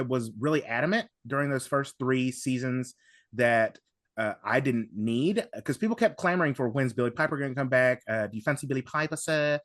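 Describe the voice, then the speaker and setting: A man speaks enthusiastically as a guest in a casual fan discussion. His pitch is average and the sound is dry. He rambles in a halting way, often circling back to reword things. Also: a bit bright, dry recording. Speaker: podcast guest.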